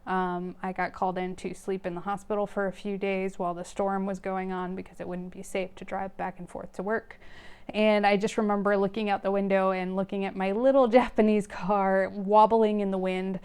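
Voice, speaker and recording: A woman's voice: medium pitch, little pitch movement, normal speed, a little mellow, clean tone. A woman hosting her own knitting vlog, chatting casually and directly to her viewers in a rambling way, with plenty of fillers and small laughs. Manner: friendly direct address to viewers. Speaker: knitting vlogger, host. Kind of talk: casual chat to camera.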